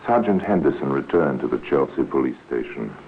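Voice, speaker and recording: A man narrating a 1950s radio crime drama in a grave, measured, deliberate manner. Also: a bass voice, expressive. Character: solemn storyteller narrating a police case. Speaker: narrator and host. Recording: tinny, noisy 1950s radio recording.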